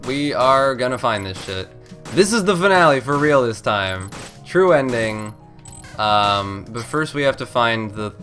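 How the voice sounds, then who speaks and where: Congested guy doing a casual lets play, mid pitch, rambles and swears, game music going behind him. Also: sharp tone. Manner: rambling, self-interrupting, swears freely. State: congested, stuffed-up nose. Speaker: let's play host. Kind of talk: casual video game commentary. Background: video game music.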